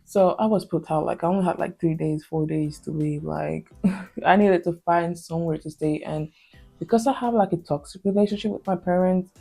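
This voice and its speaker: Young woman, Spanish native, Spanish accent; mellow, creaky low voice; rambling confessional vlogger with faint music underneath. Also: moderate pace. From Spain. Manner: hesitant, heavy on fillers and restarts. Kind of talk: confessional casual vlog talk.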